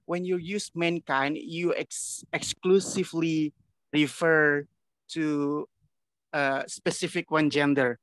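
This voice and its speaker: A man's voice: a little high, fairly bright tone, clear, resonant tone, no room sound. A man in an online class giving his view in English as a second language, casual with some fillers and restarts. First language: Indonesian. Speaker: class participant. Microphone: video call.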